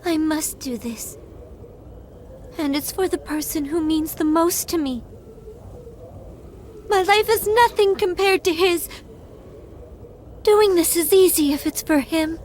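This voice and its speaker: A woman's voice: very high voice, slow pace, pauses often, darkish tone, slight background noise. A woman playing a selfless, devoted young girl, earnest, tender and sorrowful, in a video game cutscene. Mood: resolute.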